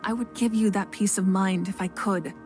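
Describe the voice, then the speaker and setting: Composed goddess-ruler, a woman in the role, measured and patient, game cutscene with faint music. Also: medium pitch, fairly even intonation, a little mellow, natural voice. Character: calm, authoritative female fire goddess ruler. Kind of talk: game voice acting.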